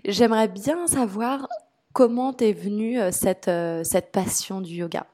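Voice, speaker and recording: Mellow-voiced woman hosting a podcast. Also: mid-range voice, a little slow, no echo, clean studio sound. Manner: gentle, slightly hesitant questioning. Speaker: podcast host, interviewer.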